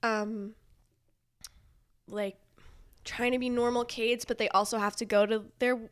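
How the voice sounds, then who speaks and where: Female podcast guest, higher-pitched voice, loose comic conversation, rambling and a bit self-deprecating. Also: little pitch movement, unhurried. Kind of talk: casual comedic chat.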